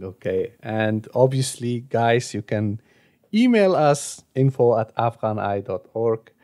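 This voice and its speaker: Hesitant male podcast host, mellow tone. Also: medium pitch, animated, takes it slowly. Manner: hesitant, many filler sounds. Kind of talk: casual outro with channel plugs.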